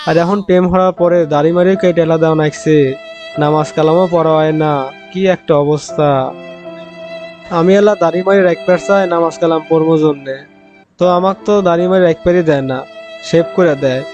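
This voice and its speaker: A man's voice: on the high side, fairly even intonation, brisk, darkish tone, natural voice. Male actor as eager young village pal in comic cartoon, henpecked, dull audio with music. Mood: rueful.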